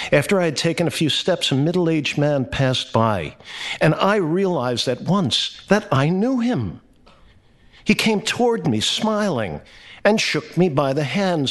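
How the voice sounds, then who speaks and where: A man reading a literary short story aloud in a measured, expressive and deliberate way. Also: medium pitch. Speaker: story reader.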